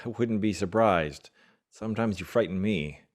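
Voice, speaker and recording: Low-voiced, wry, exasperated lover played by an actor. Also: male voice, normal speed, close to an everyday voice. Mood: wry, teasing. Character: exasperated, wry, loving boyfriend of a stage diva. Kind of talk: radio play acting of classic film dialogue.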